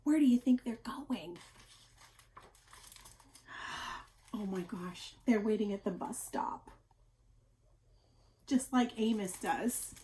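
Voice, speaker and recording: A woman reading a picture book aloud to young children as a teacher, with expressive, deliberate emphasis and questioning asides. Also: mid-range voice.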